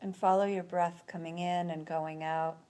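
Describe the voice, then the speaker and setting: A woman leading a guided relaxation as an instructor, speaking in a soothing, unhurried manner with long pauses between phrases. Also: on the low side, little pitch movement, warm tone.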